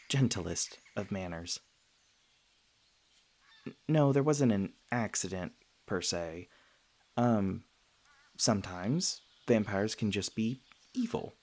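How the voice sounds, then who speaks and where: A low-pitched, soft-hearted male vampire, played by a man, speaks closely to one listener in an ASMR roleplay. He is earnest and self-conscious, often hesitating or stammering as he gently explains himself. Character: gentle, self-conscious male vampire, century old but youthful, sweetly flustered.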